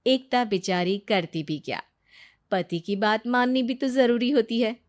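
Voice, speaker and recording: A woman's voice: a little high, a little slow. A woman storyteller narrating a scripted cartoon moral story with dramatic mock pity.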